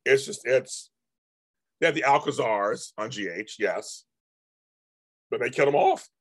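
A man talks casually to his live-stream viewers, his voice hoarse and husky. He rambles, repeats himself and restarts his sentences often. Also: mid-range voice, lively intonation, measured pace. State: hoarse, voice going out. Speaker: livestream host.

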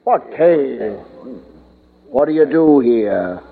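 An actor playing a huge bearded pirate captain, blustering in archaic pirate talk in a 1950s radio drama. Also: male voice, medium pitch, animated, extremely slow, creaky, heavily acted. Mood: suspicious. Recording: noisy old 1950s radio recording, phone-like.

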